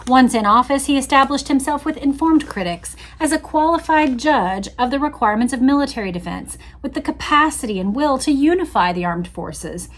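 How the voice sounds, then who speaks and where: High-voiced woman reading along and commenting. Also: lively intonation, brisk, hardly any pauses, a bit bright. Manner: conversational, musing, addresses viewers with questions. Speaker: host reading and commenting on an old magazine. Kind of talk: casual read-along commentary to viewers.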